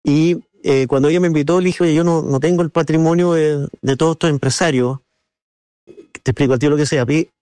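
Chilean man, slightly high-pitched, dry recording. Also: steady tone, fast, a little mellow, no room sound. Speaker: interview guest, businessman and board member of a business council. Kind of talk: conversational interview answers with anecdotes.

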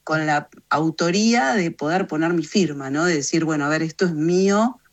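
A woman's voice: sits low, moderate pace, highs cut off. A woman in her fifties with an Argentine Rioplatense accent, answering interview questions reflectively in an explanatory, emphatic way. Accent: Argentine Rioplatense Spanish. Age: 50s. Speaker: interview guest, journalist and communications consultant.

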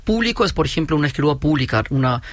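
A man's voice: a little high, rapid-fire, dry recording. German-Chilean man explaining steadily. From Chile, raised in Germany. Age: 40s. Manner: steady, methodical, explains point by point. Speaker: company founder, guest. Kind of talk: explanatory business interview.

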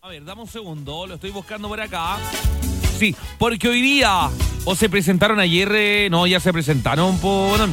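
A high-voiced man with a southern Chilean accent presents live radio over loud music. He projects like an exuberant announcer, throwing in chatty interjections as he goes. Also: moderate pace. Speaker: radio host and DJ.

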